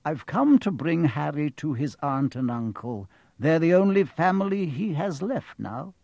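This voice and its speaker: A man voicing a wise old wizard in an audiobook, calm and firm, with slow, deliberate delivery. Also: a little high, creaky, no room sound, dull audio, exaggerated voice. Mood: mild, matter-of-fact. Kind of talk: audiobook character voice.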